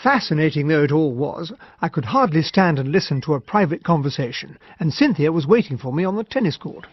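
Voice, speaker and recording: Genial English captain, muffled recording. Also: male voice, a little high, lively intonation, fairly quick, no echo. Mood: narrating. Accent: English Received Pronunciation. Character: genial, polite English army captain recounting events. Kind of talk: radio drama narration and dialogue. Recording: dull, noisy radio drama recording.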